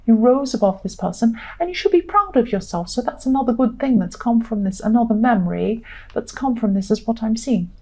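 A woman tarot reader with a fairly high, soft-edged and mellow voice. She talks to the listener conversationally and reassuringly, circling back over her points as she explains the cards. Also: expressive, a little fast, poor audio. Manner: repetitive, reassuring.

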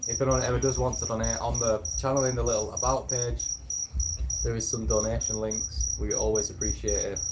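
A man's voice: mid-range voice, flat delivery, fairly quick. A British man in his twenties chatting casually as he hosts a livestream and answers viewer comments. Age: 20s. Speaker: travel vlogger hosting a livestream. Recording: very noisy, insects and clicks. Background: loud cricket and insect noise.

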